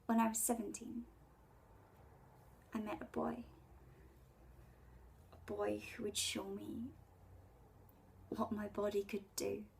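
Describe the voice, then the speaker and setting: A woman in her twenties performing a spoken word poem in a breathy voice, with intimate, deliberate dramatic phrasing. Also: mid-range voice, level tone, darkish tone, a little hiss or hum. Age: 20s. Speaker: poet. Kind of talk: spoken word poetry recital.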